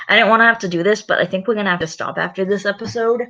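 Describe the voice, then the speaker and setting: A woman's voice: medium pitch, bright, forceful tone. A very tired woman hosting a reading channel, making rambling, exasperated asides in casual commentary. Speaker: channel host reading stories. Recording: dull lo-fi recording.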